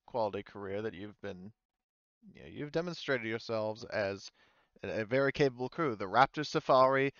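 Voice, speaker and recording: A man playing a composed corporate woman executive in a tabletop game, formal and measured, outwardly pleasant but privately irritated. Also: fairly low pitch, a bit bright, dry recording, dull audio.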